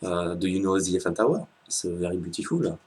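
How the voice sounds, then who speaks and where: A man's voice: sits low, expressive, fast talker, breathy edge. A young man putting on an exaggerated French accent as a cheesy tourist. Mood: mocking. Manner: mocking, singsong, loud.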